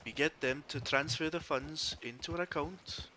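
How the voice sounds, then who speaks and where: A man's voice: on the high side, normal speed, bright, forceful tone, a little hiss or hum. A man with a Welsh accent playing an amiable small-time Wild West bandit in an online roleplay game, chatty, polite and a little hesitant. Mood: scheming, casual. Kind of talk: improvised in-character game chat.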